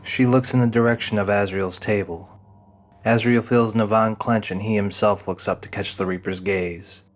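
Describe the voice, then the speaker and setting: A man's voice: fairly low pitch, fairly even intonation, normal speed. A man reading scripted dramatic narration as the narrator of an audio drama. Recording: music behind the voice.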